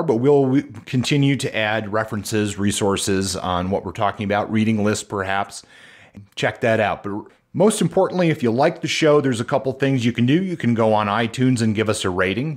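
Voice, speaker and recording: A man hosting a podcast, chatting in a relaxed, hesitant way with plenty of fillers. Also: on the low side. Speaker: podcast host. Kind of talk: casual podcast conversation.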